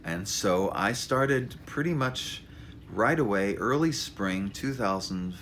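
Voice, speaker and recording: Low-voiced man with animated, swinging pitch. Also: slow pace, slight background noise. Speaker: author introducing his memoir. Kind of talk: informal spoken monologue, storytelling.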